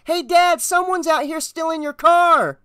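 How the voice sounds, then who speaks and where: A man voicing a plucky, excitable small-town boy with folksy speech in an audiobook. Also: extremely high pitch, moderate pace, dry recording, studio quality, voice pushed up. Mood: alarmed shouting. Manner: folksy, plain-spoken kid talk. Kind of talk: audiobook character voice.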